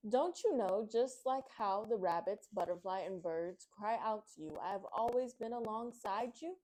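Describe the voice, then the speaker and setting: A woman's voice: medium pitch, normal speed, warm tone, slight background noise, close to an everyday voice, rough recording. Woman as a wise, reassuring glowing star. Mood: earnest, encouraging. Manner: reassuring, earnest. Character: glowing star, wise encouraging guardian spirit.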